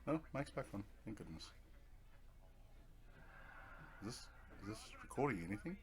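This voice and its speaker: A man's voice: on the low side, very animated, fast. A man giving casual let's play commentary as a gaming video host, laid-back and muttering asides to himself.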